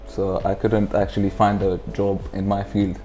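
Mellow low-voiced young man. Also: monotone, moderate pace, faint music behind. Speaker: interview guest, clothing brand founder. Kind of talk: casual interview talk. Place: furnished indoor lounge. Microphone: lapel.

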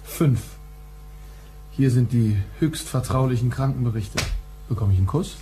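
A man acting a matter-of-fact, flirtatious informant in a crime radio play. His voice is mid-pitched and soft-edged, dark in tone. A faint hum sits behind it. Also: moderate pace, lightly acted. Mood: dry, teasing.

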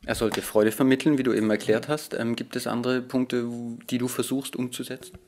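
Polite, measured male interviewer. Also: medium pitch, flat delivery, a little mellow, slight background noise. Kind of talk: interview questions. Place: hotel lounge at a conference, indoors. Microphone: handheld recorder in his own hand.

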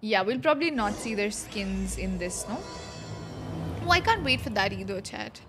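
A woman's voice: medium pitch, animated, normal speed. A woman in her twenties giving casual, playful livestream reactions to a game and chatting to viewers. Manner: playful, reactive asides to chat. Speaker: game streamer. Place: small indoor streaming room. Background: game music.

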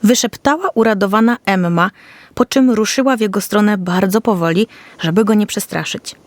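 A woman's voice: mid-range voice, moderate pace, no room sound. A woman storyteller reading a scripted fairy tale for children.

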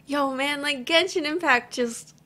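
A young woman giving casual, gushing and expressive reaction commentary as a gaming video host, recorded on a studio mic in a small home room. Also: high voice, unhurried. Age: young adult. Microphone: boom-arm studio mic at desk.

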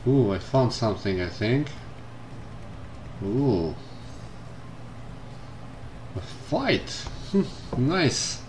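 A man commenting casually on a game as he plays, reading the game text aloud and thinking out loud. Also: mid-range voice, big pitch swings, extremely slow, long pauses, slight background noise. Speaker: gameplay streamer. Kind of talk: casual let's-play commentary. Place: indoors at a computer. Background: game music.

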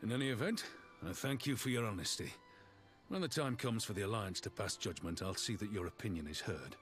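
An actor playing a stern, weary, middle-aged warrior general in a video game, speaking formally and with measured gravity. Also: male voice, on the low side, animated, a bit bright, faint music behind. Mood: resolute. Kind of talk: scripted video game dialogue.

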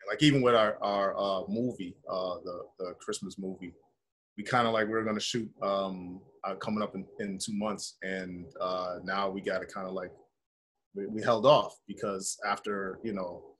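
A middle-aged American man with a husky voice, talking informally as an interview guest on a video call. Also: low voice, fairly even intonation, normal speed, fairly bright tone. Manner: informal, conversational, peppers talk with "man" and "you know". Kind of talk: casual interview chat. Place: indoor room with bare wall, video call.

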